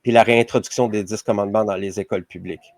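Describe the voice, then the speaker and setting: A man's voice: fairly low pitch, fairly even intonation, fast talker, a little mellow, no echo, clean studio sound. A man with a Québécois French accent, hosting a podcast interview, rambling with many fillers. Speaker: podcast host. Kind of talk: casual interview.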